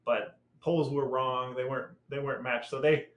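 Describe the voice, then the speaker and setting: A man's voice: mid-range voice, steady tone, moderate pace. A middle-aged man reminiscing casually with family on a podcast. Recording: roomy, echoey sound.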